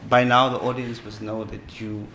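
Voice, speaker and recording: A man's voice: lowish voice, little pitch movement, moderate pace, pushed and bright. A man hosting an interview, asking encouraging questions and congratulating his guest. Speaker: podcast host. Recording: echoey room, faint noise.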